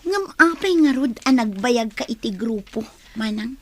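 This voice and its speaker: A woman with an Ilocano accent playing a lovelorn, regretful young rebel in a radio drama, plaintive and hushed. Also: fairly high pitch, lively intonation, moderate pace. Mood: pleading, confiding.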